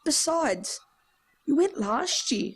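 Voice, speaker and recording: A wry Australian schoolchild with a fairly high voice. The kid is sensible and gently teasing, in a nostalgic radio drama style. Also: female voice, big pitch swings, takes it slowly, full character voice. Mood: matter-of-fact. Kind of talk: nostalgic radio play acting.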